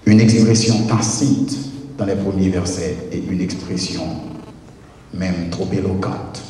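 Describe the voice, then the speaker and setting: A man preaching a deliberate, measured wedding sermon from a pulpit, holding a handheld microphone in a large church. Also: deep voice, fairly even intonation, mellow, slight background noise. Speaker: pastor. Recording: echoey church hall from far off.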